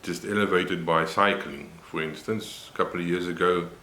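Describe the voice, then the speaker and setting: Deep-voiced older Afrikaans-man. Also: steady tone, pushed and bright, echoey room, a little hiss or hum. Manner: unhurried, reflective, many pauses and fillers. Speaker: vlogger. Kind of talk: personal health vlog.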